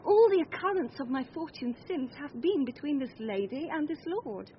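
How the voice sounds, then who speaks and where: An actress playing an earnest young woman disguised as a gentleman, in classical Shakespearean radio drama. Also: high voice, sing-song, moderate pace. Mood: tender, earnest. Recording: slightly muffled radio recording, faint noise.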